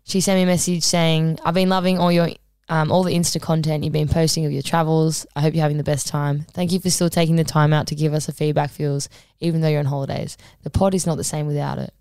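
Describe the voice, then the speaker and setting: A woman, co-hosting a podcast in a relaxed, rambling way with lots of ums. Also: low-pitched, fast, hardly any pauses, dry recording, clean studio sound. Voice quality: husky. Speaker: podcast co-host. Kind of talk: casual podcast chat.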